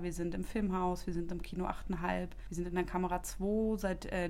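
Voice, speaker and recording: A woman from Berlin talks about her festival job in an interview. Her voice sits a little low, and she explains with eager, thorough detail, stringing lists of venues and numbers together. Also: a little mellow. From Berlin, Germany. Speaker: film festival staff member. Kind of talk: interview answers.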